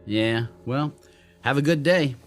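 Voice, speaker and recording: A man's voice: mid-range voice, slow, faint music behind, natural voice. An actor playing an uneasy but polite young man in a radio drama. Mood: friendly, casual.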